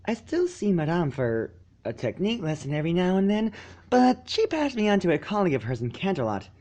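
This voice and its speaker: A man voicing an excitable young girl unicorn pony, cheerful and gushing, in a storybook reading. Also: high-pitched, brisk, voice pushed up. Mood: thrilled. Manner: giddy, gushing.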